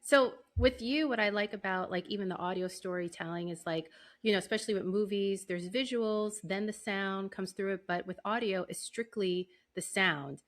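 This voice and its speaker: A woman's voice: medium pitch, moderate pace, hardly any pauses. A woman with a mid-range voice hosts an interview. She speaks conversationally, building up to her questions in an informal way. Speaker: podcast host, interviewer.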